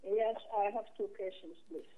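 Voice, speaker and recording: Tearful woman caller on a tinny phone line. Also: medium pitch. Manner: halting, repeats words, broken English. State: distressed and tearful.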